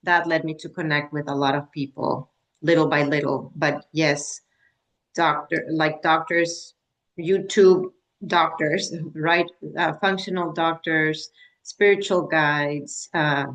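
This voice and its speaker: A woman in her fifties from Mexico works as a menopause wellness coach. Her voice sits low and she takes her time, circling back with "like" and restarting her sentences. She is talking casually over a video call. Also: fairly even intonation, low-quality recording. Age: 50s. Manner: halting, full of restarts and "like" fillers.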